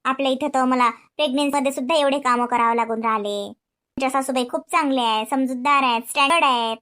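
A woman's voice: high-pitched, quick pace, fairly bright tone, voice pushed down. A woman voicing a young daughter-in-law who complains and pleads her case to the listener. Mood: evasive.

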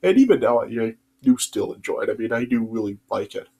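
A man's voice: fairly low pitch, lively intonation, fast, vocal fry, darkish tone, husky. A young man with a stuffy nose from a cold, rambling through a casual video review full of fillers and restarts, recorded on a webcam in a small bedroom. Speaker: YouTube reviewer. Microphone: webcam at desk distance.

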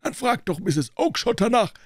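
Gruff angry shopkeeper man in an audiobook, loud n pushed up high, blustery, argumentative. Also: animated, normal speed, dry recording, studio quality. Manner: shouting, combative, triumphant bluster. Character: gruff, hot-tempered market poultry dealer, adult man.

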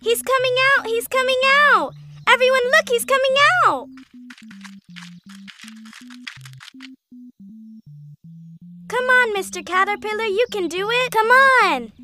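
A woman's voice: very high voice, moderate pace, faint music behind. A woman voicing an eager, impatient young cow in a children's cartoon, talking emphatically. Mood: excited. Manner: excitable, emphatic, exclaiming.

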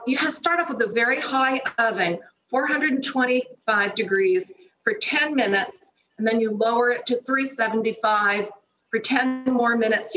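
Middle-aged female guest, chatty cooking demo, higher register, roomy kitchen echo. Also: sharp tone. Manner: chatty, step-by-step instructional. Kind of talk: cooking demonstration on a morning show. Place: home kitchen, indoors.

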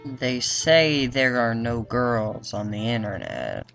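A man's voice: mid-range voice, sing-song, slow pace, creaky, a bit bright, soft background music, full character voice. A man playing a blunt, teasing young adventurer in an amateur comedy radio drama, with a needling manner.

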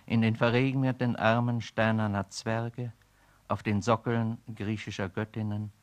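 An actor speaking a weary man's poetic inner monologue in a radio play, slow and brooding. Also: lowish voice, lightly acted. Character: weary, brooding man musing on decay.

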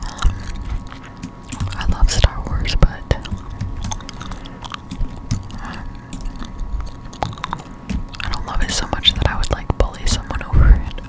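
A young woman whispering casual gameplay chat in an ASMR style, slow and halting, close to a desktop microphone at home. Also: rough recording. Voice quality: breathy unvoiced whisper. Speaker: gaming ASMR streamer. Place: indoors in a room at home. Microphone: close-up desktop condenser microphone.

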